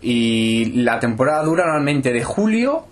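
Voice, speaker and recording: A man's voice: mid-range voice, big pitch swings, normal speed, soft background music. A young man from Spain with a southern, Andalusian-tinged accent, explaining things steadily in an interview with frequent hesitations. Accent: Andalusian-tinged Spanish. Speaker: interviewed footballer. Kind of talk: casual interview answers.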